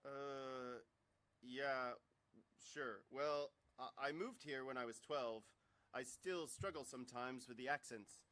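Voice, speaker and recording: Man playing a bright-voiced, bluffing police detective. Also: mid-range voice, fairly even intonation, takes it slowly, clear, resonant tone. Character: eager London police detective with muddled accent.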